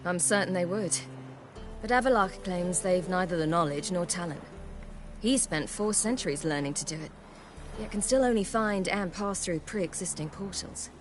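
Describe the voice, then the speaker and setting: A woman's voice: fairly low pitch, moderate pace, natural voice. An actress playing a free-spirited, self-assured young woman in a video game, speaking frankly and thoughtfully. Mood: confident, frank. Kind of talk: video game dialogue acting. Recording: music playing behind the voice.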